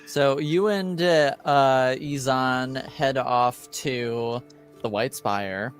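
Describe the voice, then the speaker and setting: A man running a tabletop game as game master, speaking casually and a little haltingly. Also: medium pitch, faint music behind.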